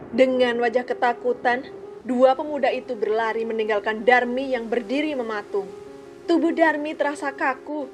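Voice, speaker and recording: A woman narrating a children's folk tale in a calm, clear storytelling manner. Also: a little high.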